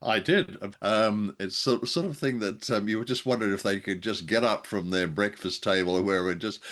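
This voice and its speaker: Low-voiced man, wry podcast co-host. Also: fairly quick, fairly bright tone. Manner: wry, playful. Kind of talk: casual, jokey chat.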